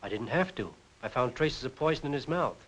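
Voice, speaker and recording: A man acting an uneasy morgue doctor in a forties crime film. He speaks quickly in a mid-range voice, deferring and faltering under pressure. The sound is an old movie soundtrack. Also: mid-range voice, animated, close to an everyday voice, low-quality recording. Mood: uneasy, matter-of-fact.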